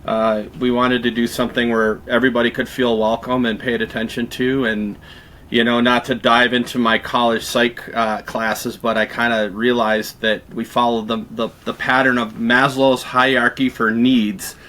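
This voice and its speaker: A man's voice: mid-range voice, flat delivery, normal speed, pushed and bright. A man from Minnesota with a Minnesotan accent, a guest musician talking in an easygoing, rambling way full of fillers. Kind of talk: casual interview conversation.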